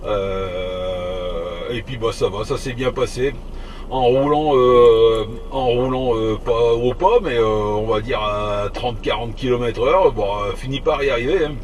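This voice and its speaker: A man's voice: mid-range voice, measured pace. A man chatting casually on CB radio in a colloquial, joking way, tagging his phrases with little filler words. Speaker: CB radio operator, driver. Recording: distant voice under loud vehicle noise.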